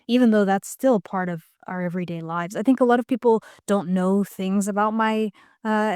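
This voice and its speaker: A woman's voice: mid-range voice, a little mellow, clean tone, no room sound, clean studio sound. A woman from the United States, a podcast guest speaking in a thoughtful, hesitant way with many restarts. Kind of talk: reflective interview talk.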